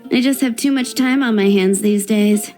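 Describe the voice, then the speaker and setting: A woman's voice: mid-range voice, normal speed, over music, lightly acted. A woman voicing a wry, self-assured young tavern owner in a video game, with a teasing, dry delivery. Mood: wry, composed.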